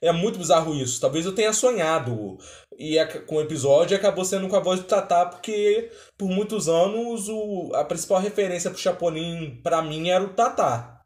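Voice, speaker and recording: A man with a Brazilian accent giving casual, spontaneous commentary as a reaction video host, in a halting way with broken-off words. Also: high voice, darkish tone. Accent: Brazilian Portuguese. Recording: roomy, echoing voice recording.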